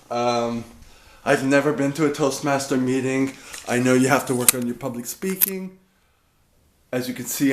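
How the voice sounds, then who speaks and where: A man in his thirties talking casually to a camera at home in a dark room, chatty and rambling, often cutting himself off mid-sentence. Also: mid-range voice, echoey room, a little hiss or hum. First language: English. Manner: rambling, chatty, self-interrupting. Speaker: vlogger.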